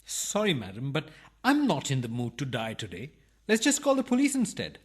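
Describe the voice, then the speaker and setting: An actor playing a cheeky, indignant burglar in a farcical radio play, protesting politely and taking things comically literally. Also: male voice, mid-range voice, huge pitch swings, moderate pace, breathy edge. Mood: nervous, puzzled.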